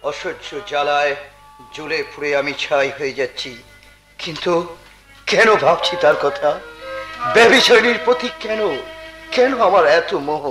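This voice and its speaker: A tormented, jealous husband on the melodrama stage. His high voice declaims in anguish, echoing over prominent music. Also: normal speed, pauses often, sharp tone. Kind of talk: Bengali jatra folk-theatre melodrama. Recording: echoey stage sound with music.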